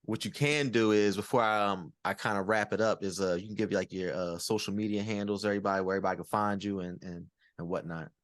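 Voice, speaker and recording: A man's voice: fairly low pitch, fairly even intonation, quick pace, few pauses, dry recording. A man hosting a podcast in casual interview chat, laid back and full of fillers as he hypes up his guests. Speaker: podcast host.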